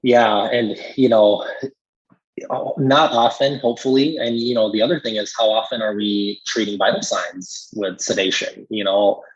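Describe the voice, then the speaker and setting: A man with a low, husky voice talks through clinical points on a podcast. He is agreeable and explanatory, keeping things casual and illustrating with examples. The audio is slightly dull and lo-fi. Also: steady tone, normal speed. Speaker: pharmacist and podcast co-host. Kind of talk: casual professional discussion.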